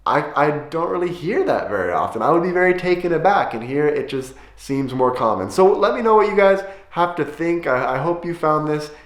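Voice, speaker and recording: A young American man muses to his camera in a casual, reflective way, circling back on his words as he thinks. His voice sits a little higher than most men's, and the room around him rings with echo. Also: animated, brisk, hardly any pauses. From United States. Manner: reflective, with frequent restarts and fillers. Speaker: vlogger. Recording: echoey room.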